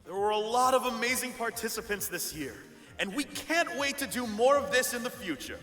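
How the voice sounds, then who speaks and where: A showy awards host in a visual novel, high and bright, in a big echoing hall. He announces with excitement and wide swings of intonation. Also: normal speed, exaggerated voice. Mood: formal, building suspense. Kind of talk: ceremony announcement. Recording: echoing hall, music underneath.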